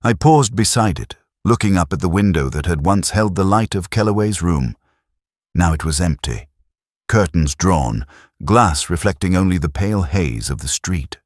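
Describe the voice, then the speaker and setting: A man acts out a weary, melancholy detective telling his own story in a radio mystery. The voice is extremely low, with lively rises and falls in pitch. The delivery is measured and brooding, and the sound is close and dry. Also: dry recording. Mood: wistful, resigned. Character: weary, melancholy, reflective male English police detective. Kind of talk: scripted first-person detective story narration.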